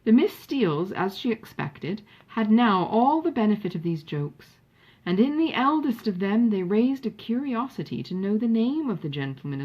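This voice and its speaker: A woman's voice: mid-range voice, husky, highs cut off. A woman reading a classic novel aloud as an audiobook narrator, in a literary storytelling style. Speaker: audiobook reader.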